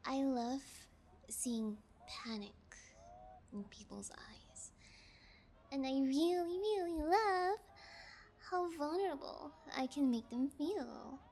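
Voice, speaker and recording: Woman acting a slow, high-voiced sadistic kidnapper. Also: a little mellow, a little hiss or hum, full character voice. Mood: gloating. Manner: teasing, sing-song menace with drops into whispers. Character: sadistic, playful female yangire kidnapper, sociopath. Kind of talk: ASMR audio roleplay, intimate monologue.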